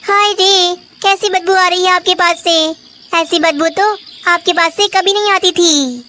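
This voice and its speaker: Woman voicing a high, bright, gentle female sparrow. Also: fairly even intonation, measured pace, a little hiss or hum, heavily acted. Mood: concerned. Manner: polite, pleading. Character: gentle, anxious young female sparrow, a devoted wife. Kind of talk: Hindi children's cartoon dialogue.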